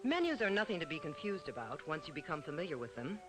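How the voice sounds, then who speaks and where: Higher-voiced, animated mid-century film narrator. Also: male voice, fast. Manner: formal, didactic. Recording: old 1950s-60s film soundtrack with music.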